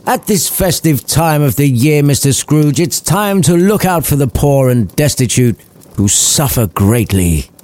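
Man voicing a formal gentleman, polite, measured, slightly high. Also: expressive.

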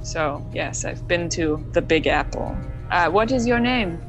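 A woman's voice: lowish voice, animated, a little slow. A woman voicing a flamboyant French Canadian woman artist with a put-on French Canadian accent, dramatic and full of fillers, with music behind. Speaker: tabletop player.

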